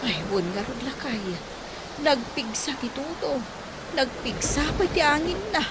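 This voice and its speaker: A woman's voice: high-pitched, animated, a little slow, very noisy. A woman playing a chatty older village wife in an Ilocano radio drama, with an Ilocano accent. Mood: worried. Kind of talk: radio comedy-drama acting.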